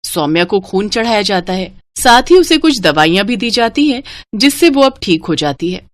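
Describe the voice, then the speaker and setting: A woman's voice: mid-range voice, big pitch swings, moderate pace, no room sound. A woman reading scripted narration for a family moral story.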